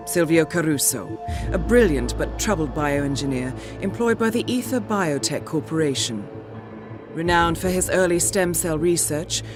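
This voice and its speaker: Expressive woman acting as a mission handler. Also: lowish voice, close to an everyday voice. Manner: measured, formal, suave, deliberate. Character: poised female intelligence handler briefing an assassin. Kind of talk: scripted mission briefing narration.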